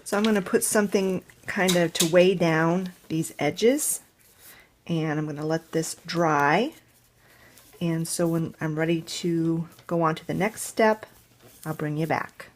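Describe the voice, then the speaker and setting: A woman's voice: deep voice, a little slow. A woman hosting a casual home craft tutorial, explaining each step as she thinks aloud, with a congested, stuffed-up nose. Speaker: crafting channel host.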